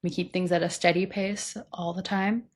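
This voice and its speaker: A young woman co-hosting a casual podcast in a relaxed, plainspoken way, on a studio mic indoors. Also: fairly low pitch, moderate pace. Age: young adult. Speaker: podcast co-host. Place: indoor room with patterned wallpaper. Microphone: studio mic on stand, wearing headphones.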